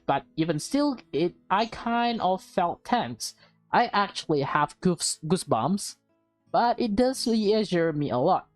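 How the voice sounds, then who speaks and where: A woman's voice: sits low, darkish tone, no echo. A woman reading visual novel text aloud with casual reactions, stumbling now and then over words and correcting herself.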